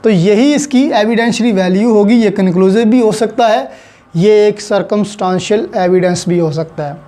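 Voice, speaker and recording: A man with a Pakistani accent and a notably high, mellow voice delivers an explanatory lecture. He teaches in a didactic way, setting out one point after another and slipping English technical terms into his sentences. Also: normal speed. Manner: didactic, code-switches into English legal terms. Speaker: law lecturer.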